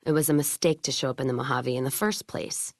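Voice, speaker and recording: A tough female caravan boss from a video game, pitched low for a woman. She is blunt and sardonic, swears freely and sounds worn down by a hard-drinking life. The sound is dry, with no room to it. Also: fast talker, no echo. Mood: angry, scornful. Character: tough, hard-drinking, foul-mouthed, world-weary female caravan owner. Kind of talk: video game character dialogue.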